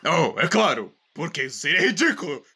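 A man's voice: a little high, bright, forceful tone, husky, heavily acted. A man with a Brazilian accent playing an arrogant, short-tempered alien villain in a comedic anime parody dub, sarcastic and blustering. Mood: mocking. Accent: Brazilian Portuguese.

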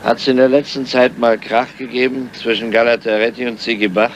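An actor playing a crafty, weary, grumpy police inspector in a radio crime drama, speaking in a dry, measured, deliberate way. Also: male voice, medium pitch, little pitch movement, close to an everyday voice. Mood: matter-of-fact, probing. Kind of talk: 1970s German crime radio drama acting. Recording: noisy, dull old radio drama recording.

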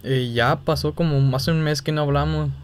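A young man with a Mexican accent talking casually and haltingly on a phone call, sounding embarrassed. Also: fairly high pitch, fairly even intonation, slight background noise. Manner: hesitant, halting, feigning embarrassment. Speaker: gaming video creator making a prank call. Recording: music playing behind the voice. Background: music.

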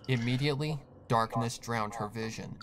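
A man's voice: mid-range voice, normal speed, a crowd in the background. A man reading a scripted horror story as the narrator. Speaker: fan fiction narrator.

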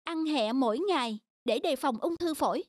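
A woman's voice: sits high, expressive, moderate pace, no echo, studio quality. A woman voicing a stubborn, emotional schoolgirl daughter in a cartoon drama, with a plaintive manner. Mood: puzzled. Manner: plaintive, complaining. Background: children's style background music.

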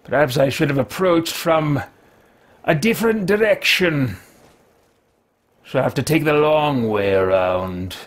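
A man's voice: a little high, animated, unhurried, pauses often. A man in his thirties voicing an arrogant, bitter, power-hungry wizard in a video game roleplay, speaking in a pompous, theatrical, archaic manner. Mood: haughty, weary. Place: small home room, indoors.

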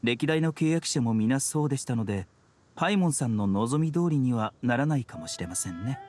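A man voicing a gentle, composed young healer in an anime game, speaking politely and formally. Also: mid-range voice, expressive, lightly acted. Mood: calm, regretful. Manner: polite, soft-spoken, unhurried, formal. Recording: studio voice over background music.

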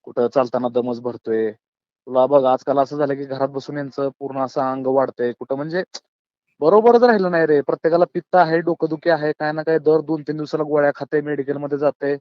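A dull, lo-fi phone recording carries a man with a rural Maharashtrian accent, talking very fast. His voice sits fairly high and close, as he reasons earnestly and colloquially with his listener. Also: fairly even intonation, no echo. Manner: colloquial, earnest, philosophising, often addresses listener as brother. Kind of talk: casual phone chat, opinionated monologue. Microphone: phone call, close and dry.

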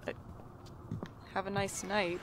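A woman's voice: medium pitch, flat delivery, slow, heavy background noise. A woman playing a sardonic young night-shift worker in an audio drama, with a dry, casual delivery. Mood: casual, wry. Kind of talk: audio drama acting and first-person narration.

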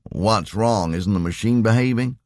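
A man voicing a gruff, sceptical male computer engineer in an audiobook, wry and grumbling. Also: lowish voice, normal speed, darkish tone, dry recording, studio quality, pitched above the performer's own voice, natural voice. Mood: impatient.

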